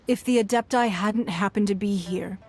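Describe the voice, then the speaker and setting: An actress playing a poised, shrewd businesswoman who leads a fantasy city, speaking in a measured, formal, diplomatic way in a game. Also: medium pitch, husky. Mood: composed, diplomatic. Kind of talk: scripted fantasy game dialogue. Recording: music under the voice.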